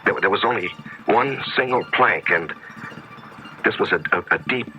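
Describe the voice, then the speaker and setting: An actor playing a haunted, panicky novelist, agitated and stammering, in a 1970s radio drama. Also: male voice, fairly low pitch, moderate pace, bright, forceful tone. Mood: desperate. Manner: agitated, stammering, breaking off and repeating himself. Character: haunted, panicky male novelist who feels followed. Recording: muffled, noisy 1970s radio recording.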